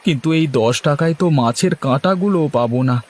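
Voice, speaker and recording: A man's voice: a little high, animated, quick pace. A man voicing a harried, good-natured village husband in a cartoon, chatty and pleading. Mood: fretful musing. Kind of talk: Bengali cartoon dialogue.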